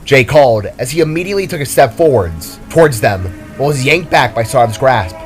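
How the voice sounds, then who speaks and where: A male storyteller with a medium-pitched voice narrates dramatic fantasy action from a script. Faint music sits behind the voice. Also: lively intonation, fairly quick.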